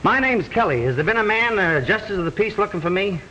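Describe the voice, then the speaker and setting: Old film lead: a wisecracking young detective, high and bright, brisk banter, faint hiss. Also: male voice, lively intonation, highs cut off. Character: wisecracking young male detective, eager groom. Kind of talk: 1930s film dialogue.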